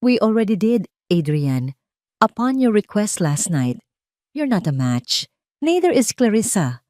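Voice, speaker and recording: A grave, gentle senior male blood specialist in an audio drama, read by a woman. He has a Filipino accent and speaks in measured, factual phrases, recorded very dry. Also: medium pitch, big pitch swings, no room sound, voice pushed up. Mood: regretful, firm.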